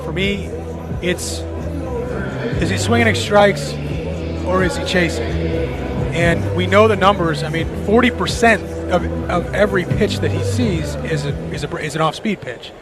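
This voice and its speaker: A man's voice: high voice, unhurried, loud music behind the voice. A man giving conversational baseball analysis as a radio color commentator, rambling as he thinks aloud. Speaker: color commentator, former player.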